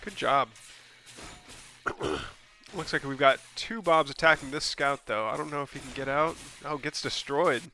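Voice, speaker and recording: A man casts a strategy game replay alone at his desk mic, his voice bright but stuffy and scratchy from a cold. He rambles casually with plenty of ums and self-corrections, then jumps up in pitch when a play surprises him. His pitch sits in a middle range for a man. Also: a little slow, pauses often, faint music behind. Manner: bursts of excitement at plays. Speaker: game caster. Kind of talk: solo replay commentary.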